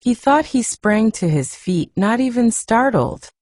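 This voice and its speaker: A woman narrating an audiobook as a soothing bedtime story, in a soft, measured, hushed manner with lingering pauses. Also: on the high side, lively intonation, dry recording, clean studio sound.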